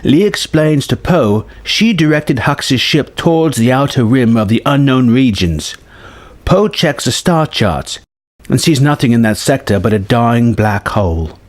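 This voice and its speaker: A man's voice: medium pitch, animated, unhurried. A man narrating a scripted story in a dramatic style. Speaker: narrator reading a film story treatment.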